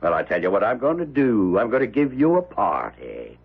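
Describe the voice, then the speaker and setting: A man's voice: lowish voice, huge pitch swings, normal speed, husky, heavily acted. An actor playing a wealthy, domineering old man in a radio drama, blustery and wheedling. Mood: hearty huckster. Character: wealthy, overbearing old miser playing showman host. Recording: dull old radio recording.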